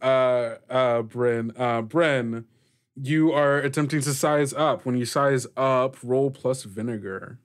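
A man running a tabletop roleplaying game as game master, explaining rules in a halting, conversational way. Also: mid-range voice.

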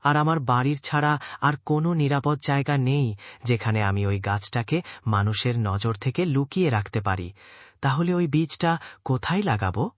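A man's voice: mid-range voice, normal speed, no room sound, close to an everyday voice. A man voicing a humble, hopeful gardener in a cartoon moral tale, with an earnest, deferential way of talking. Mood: pondering, hopeful.

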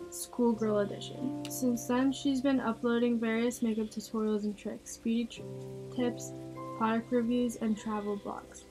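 A woman's voice: on the high side, poor audio. A woman reading a scripted biographical narration. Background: music.

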